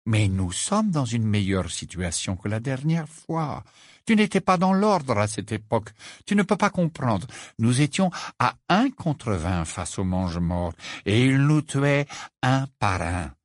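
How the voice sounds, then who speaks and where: A man reading the part of a calm, grave male wizard in an audiobook, speaking firmly and soothingly. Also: fairly low pitch, a little slow, no room sound, clean studio sound. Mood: firm, reassuring. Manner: grave, reassuring, firm.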